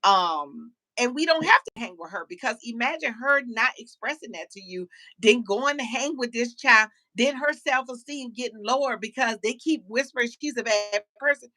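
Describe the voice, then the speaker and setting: A woman hosting a casual panel talk show, animated and preachy, often repeating words as she starts a sentence. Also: a little high, moderate pace, dry recording, low-quality recording.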